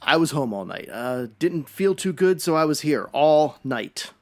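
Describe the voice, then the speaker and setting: A man's voice: fairly high pitch, big pitch swings, unhurried, darkish tone. A man playing a nervous, evasive husband under suspicion in a radio detective drama, hesitant and pleading. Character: nervous, evasive husband cornered as a murder suspect. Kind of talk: old-time radio detective drama acting.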